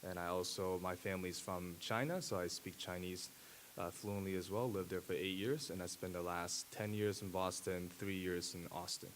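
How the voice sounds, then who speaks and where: Low-voiced man giving a halting keynote. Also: fairly even intonation, a little hiss or hum. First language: Japanese. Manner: halting, many fillers and restarts. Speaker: conference speaker. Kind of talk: conference keynote talk. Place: large dark auditorium stage. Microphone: handheld mic at a podium.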